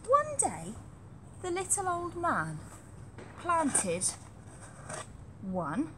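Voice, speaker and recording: A woman reading a story aloud to young children in an animated, deliberate, emphatic way, as a nursery storyteller. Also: very high voice, background noise.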